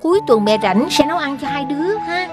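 A woman voicing a caring but gently firm young mother in a children's cartoon. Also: high voice, animated, brisk, music in the background.

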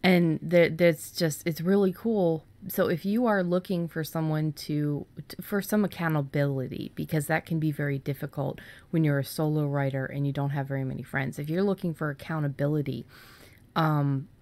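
A woman's voice: low voice, a little mellow. A woman co-hosting a podcast in a casual, informal, somewhat rambling conversational style. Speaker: podcast co-host. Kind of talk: casual chat.

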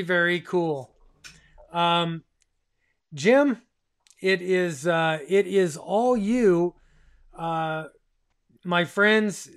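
Man in his fifties, livestream host, slow pace, enthusiastic, repeats himself for emphasis. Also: high-pitched, fairly bright tone. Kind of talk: casual livestream hosting. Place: small home radio room, indoors.

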